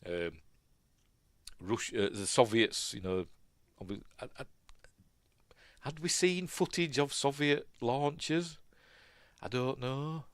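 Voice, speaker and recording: A man with a Yorkshire accent hosting a casual film commentary, rambling and musing aloud, often second-guessing himself and trailing off quietly. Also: mid-range voice, lively intonation, slow pace, pauses often, no echo. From Yorkshire, England. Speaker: film review host.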